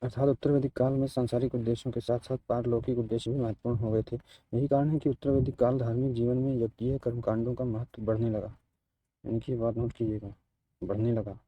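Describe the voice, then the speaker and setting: Even-toned man teaching, slightly muted voice. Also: mid-range voice, slightly rough, no room sound, poor audio. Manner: repetitive, halting, restates phrases. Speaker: teacher of exam history lessons. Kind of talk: lecture for exam preparation, repeating key points.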